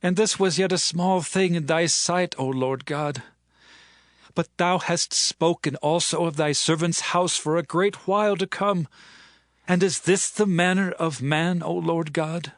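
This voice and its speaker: A man's voice: on the high side, a little slow, no echo, studio quality. King David, earnest and fervent. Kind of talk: dramatized scripture reading.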